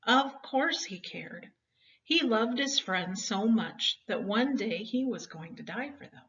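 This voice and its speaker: A woman's voice: a little high, lively intonation, slow, fairly bright tone. A woman reading a children's Bible story expressively, with dramatic, emphatic phrasing meant for young listeners. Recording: dull, distant home-style recording.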